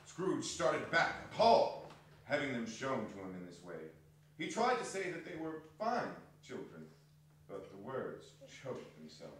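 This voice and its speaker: A man's voice: medium pitch, huge pitch swings, measured pace. Male stage storyteller, very varied intonation, emphatic and dramatic, heard at a distance in a hall. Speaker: narrator in a dramatic reading. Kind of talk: theatrical storybook narration. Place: theatre hall stage reading. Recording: echoey hall, distant mic, some noise.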